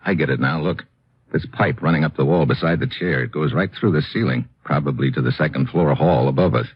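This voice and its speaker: Deep-voiced hard-boiled American agent. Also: male voice, brisk, husky, dry recording. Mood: alert, clipped. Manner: clipped, hard-boiled delivery. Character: tough, brisk American secret agent, grown man. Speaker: lead and first-person narrator. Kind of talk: 1950s radio detective acting, scripted narration. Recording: muffled old radio recording, loud music.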